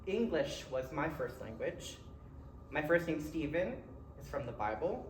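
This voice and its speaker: A man's voice: fairly high pitch, big pitch swings, a little slow. A man with a Philadelphia accent giving a personal keynote speech in a conversational way, with short dramatic phrases and wry asides. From Philadelphia area, United States. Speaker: keynote speaker. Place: college event room. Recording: roomy, distant mic with faint noise.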